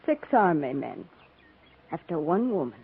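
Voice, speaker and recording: An actress playing a bitter, wounded, plainspoken frontier widow in a 1950s radio western, with folksy rustic phrasing. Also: female voice, low-pitched, big pitch swings, measured pace, vocal fry, husky, heavily acted. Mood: guarded, challenging. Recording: muffled, tinny 1950s radio recording.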